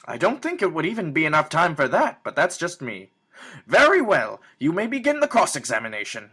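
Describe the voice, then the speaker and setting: A man's voice: fairly high pitch, huge pitch swings, brisk, sharp tone, slightly rough, heavily acted. A man playing a stern, pompous elderly judge in an amateur courtroom game fan dub, speaking in a formal, declamatory way. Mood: measured. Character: stern pompous elderly male judge presiding over a trial.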